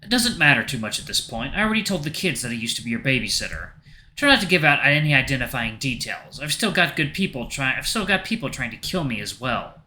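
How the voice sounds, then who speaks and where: A man's voice: mid-range voice, sing-song, fast talker, pushed and bright. A man voicing a weary older informant who is dry, guarded and grudgingly protective.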